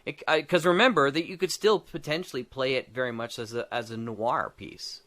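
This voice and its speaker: A man's voice: medium pitch, fairly quick, pushed and bright. A man chatting casually on a podcast about tabletop games, rambling as he thinks aloud and often checking that he is followed. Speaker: podcast participant. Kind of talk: casual discussion of tabletop game design.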